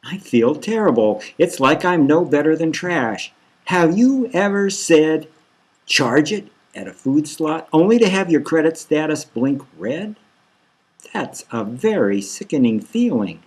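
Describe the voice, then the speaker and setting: A man reading a novel as a desperate, anguished male patient, plaintive and halting. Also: on the high side, lively intonation, slightly rough. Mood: miserable.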